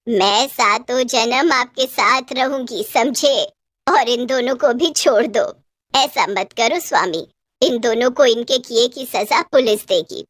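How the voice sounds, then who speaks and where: A woman's voice: a little high, a bit bright, dry recording. A woman voicing a devoted, plaintive elderly village wife in a cartoon ghost story, stretching out her pleas. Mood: tender, pleading.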